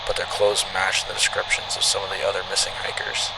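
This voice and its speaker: Deep-voiced, stubborn park ranger played by a man. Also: completely flat delivery, brisk, creaky, very noisy. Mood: insistent, reasoning. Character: steady, stubborn male park ranger at a grim scene. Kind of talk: amateur audio horror drama acting.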